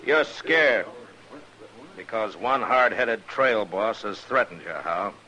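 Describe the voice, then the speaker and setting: Very deep-voiced, sardonic western lawman. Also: expressive, slow pace, sharp tone, exaggerated voice. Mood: firm, challenging. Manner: dry, ironic, blunt. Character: firm, sardonic frontier lawman, world-weary but fair. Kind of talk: old-time western radio drama acting. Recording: noisy old-time radio recording.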